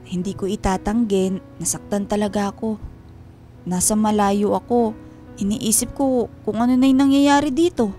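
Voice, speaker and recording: Woman acting tender, wounded Filipina wife, mellow voice. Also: a little high, close to an everyday voice. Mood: hurt. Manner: earnest, heartfelt, measured delivery. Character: tender, wounded wife and mother back from working abroad. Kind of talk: Filipino radio drama acting.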